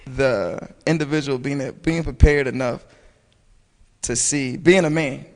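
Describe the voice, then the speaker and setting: A hoarse young man on a talk panel, his voice gravelly and fry-heavy. He rambles along in a loose, casual way, circling back with fillers, while a long reverb trails after his words. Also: medium pitch, a little slow. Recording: long reverb, faint noise.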